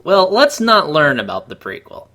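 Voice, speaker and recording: Male co-host, higher pitch, bright sharp tone, wry casual commentary. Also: wildly swooping pitch, normal speed. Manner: wry, sarcastic.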